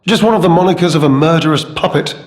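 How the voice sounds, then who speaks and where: Man acting a pompous, suave gentleman schemer in reverb. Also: fairly high pitch, fast, close to an everyday voice. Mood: coy, probing. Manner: pompous, affected, drawling and theatrical. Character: suave, condescending gentleman schemer with feigned courtesy. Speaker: video game voice actor. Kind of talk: theatrical video game character dialogue. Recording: long hall-like reverb.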